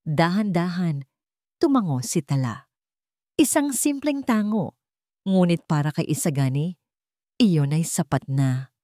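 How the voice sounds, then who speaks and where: A woman reading a scripted dramatic story in a measured, sentimental way, phrase by phrase. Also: fairly low pitch, big pitch swings, dark tone, no echo, studio quality. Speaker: story narrator.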